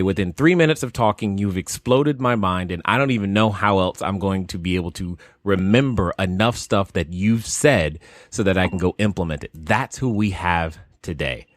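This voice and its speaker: A man hosting a podcast interview, pitching his site and introducing his guest with enthusiastic, salesy energy. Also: no room sound, clean studio sound. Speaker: podcast host.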